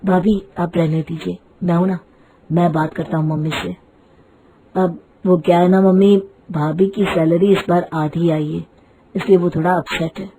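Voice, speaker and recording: Woman playing a soothing, dutiful son, low mellow voice. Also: steady tone, moderate pace, a little hiss or hum, close to an everyday voice, rough recording. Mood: placating. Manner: placating, reassuring. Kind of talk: Hindi cartoon moral story voice.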